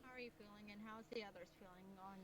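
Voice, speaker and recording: A woman's voice: medium pitch, fairly even intonation, quick pace. A woman talking casually in improvised roleplay on an online voice chat. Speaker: roleplay participant. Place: online voice chat in a virtual world. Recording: voice chat with crowd babble.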